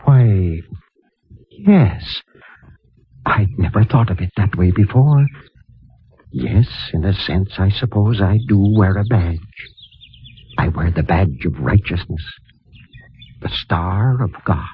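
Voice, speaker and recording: An actor playing a kindly, righteous mission priest in a gentle, measured, formal manner, in a 1950s radio drama. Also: male voice, deep voice, expressive. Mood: gently reflective. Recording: muffled, narrow-band vintage radio recording.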